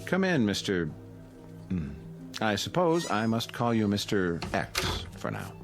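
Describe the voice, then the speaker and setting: A deep, expressive voice plays a condescending psychiatrist in an audio drama. He is measured and intellectual, calmly patronizing as his pitch rises and falls. Music plays clearly behind him. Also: heavily acted. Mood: smooth condescension. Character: calm, condescending male psychiatrist at a mental institution. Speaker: actor.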